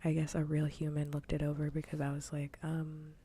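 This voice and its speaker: A soft-spoken woman chatting casually on an ASMR livestream, often dropping into a whisper close to the microphone. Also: sits low, monotone, a little fast, husky. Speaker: streamer.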